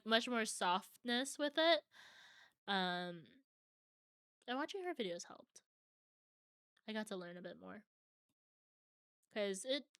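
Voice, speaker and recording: A woman's voice: mid-range voice, pushed and bright, clean tone, dry recording, clean studio sound. A young adult woman streaming from home, chatting casually with viewers and answering their comments.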